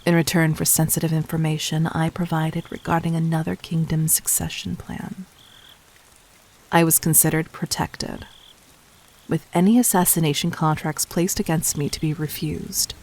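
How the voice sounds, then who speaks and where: A woman playing a calculating, commanding demon queen in an audio roleplay, speaking formally and deliberately in a soft voice that often dips toward a whisper. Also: sits low, little pitch movement. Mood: cool, commanding. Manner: measured, regal, formal, deliberate. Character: calculating, commanding demon queen toying with her would-be assassin. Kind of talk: scripted audio roleplay addressed to the listener.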